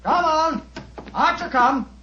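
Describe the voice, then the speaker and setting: High-pitched, imperious British gentleman. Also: takes it slowly, full character voice. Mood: threatening. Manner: imperious, condescending. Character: suspicious, brusque well-to-do gentleman house owner, villainous. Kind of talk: old-time radio drama acting. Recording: dull, faintly hissy vintage radio recording.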